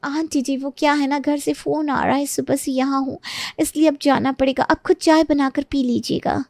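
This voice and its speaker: A woman voicing a lazy, entitled young daughter-in-law with a breezy, dismissive manner in an animated moral story. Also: high voice, normal speed, no room sound, studio quality. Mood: scheming.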